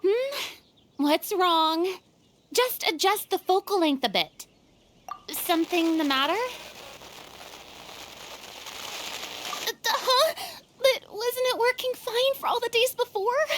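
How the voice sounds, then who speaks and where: A woman voicing an excitable, bossy young female film director in an anime-style video game, with commanding, theatrical exclamations. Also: very high-pitched, unhurried, a little hiss or hum, heavily acted, low-quality recording. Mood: puzzled, dismayed.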